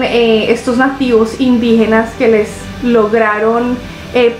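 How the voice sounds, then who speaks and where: Chatty high-voiced young Colombian woman. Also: roomy sound, a little hiss or hum, poor audio. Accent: Colombian Spanish. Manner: chatty and expressive, drawn-out fillers. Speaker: reaction video host. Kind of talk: casual reaction vlog to camera. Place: living room, seated on a couch.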